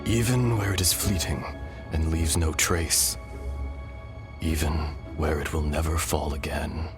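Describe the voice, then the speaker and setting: Kindly old dragon spirit, low pitch, unhurried, solemn, poetic, weary game delivery, loud music underneath. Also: male voice, steady tone. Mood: gentle, resigned. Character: benevolent remnant spirit of an ancient male dragon, calm, weary. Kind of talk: dramatic video game voice acting.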